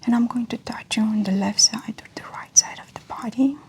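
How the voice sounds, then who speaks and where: A woman speaking in a breathy, whispery soft voice, gently and slowly giving instructions in an ASMR medical exam roleplay. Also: a little high. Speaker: ASMR creator playing a medical examiner.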